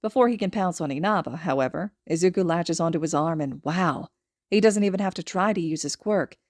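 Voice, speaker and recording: A woman's voice: fairly low pitch, brisk, a little mellow, no echo, studio quality. A woman reading a story aloud as scripted audiobook narration. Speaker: podfic narrator.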